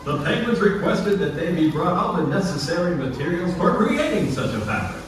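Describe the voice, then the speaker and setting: High-pitched, lively male storybook narrator. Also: fairly quick. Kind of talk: scripted storybook narration for puppet show. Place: indoor attraction puppet show, heard from audience distance. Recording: echoey, distant venue with background noise.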